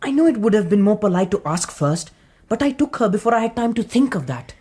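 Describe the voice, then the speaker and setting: A woman's voice: mid-range voice, big pitch swings, fast, dark tone, lightly acted. A woman playing a young male general, earnest, polite and formal, in a radio drama.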